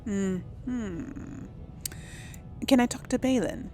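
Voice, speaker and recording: A woman's voice: mid-range voice, extremely slow, darkish tone, soft background music. A woman doing casual video game commentary, rambling and thinking aloud in an unsure way. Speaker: video game let's-play host.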